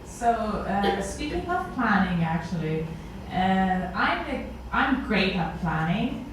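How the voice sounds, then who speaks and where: A woman's voice: mid-range voice, very animated, slow pace. A woman in an audience casually asking a question. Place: indoor meetup event space. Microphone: far camera. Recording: very echoey room, distant voice, noisy background.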